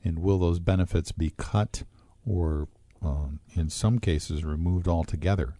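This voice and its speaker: A man co-hosting a retirement radio show, explaining pension plans and interest rates in a measured way with frequent fillers. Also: low-pitched, mellow, no echo. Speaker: financial advisor co-host. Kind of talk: radio talk show discussion.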